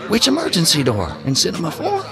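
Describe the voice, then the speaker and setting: An actor playing a diligent, friendly theater security guard in a science fiction audio drama. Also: male voice, a little high, wildly swooping pitch, fairly quick, loud music behind the voice. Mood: businesslike.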